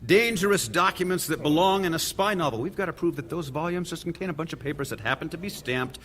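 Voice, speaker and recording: A man's voice: fairly high pitch, fast, a bit bright, slight background noise, natural voice. An actor playing a pragmatic male trial lawyer in a radio play, courteous yet firm and earnest. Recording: reverberant hall.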